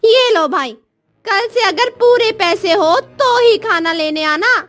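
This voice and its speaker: Shrill-high scolding village tiffin seller, acted by a woman. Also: lively intonation, takes it slowly, fairly bright tone, full character voice. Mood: annoyed, scolding. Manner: scolding, complaining. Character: irritable village woman selling tiffin meals.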